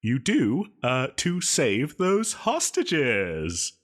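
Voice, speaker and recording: Theatrical, giggly lovestruck supervillain with swooping pitch. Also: male voice, huge pitch swings, a bit bright, exaggerated voice. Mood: nervous, eager. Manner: melodramatic, giggling, stammering, drawn-out delivery. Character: male yandere supervillain, theatrical, needy, possessive, self-pitying, lovestruck. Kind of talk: scripted roleplay audio drama.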